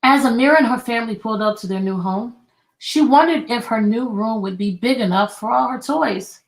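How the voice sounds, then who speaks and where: A woman teacher reading a children's book aloud, expressive and deliberate, prompting young listeners with questions. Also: a little high, rough recording.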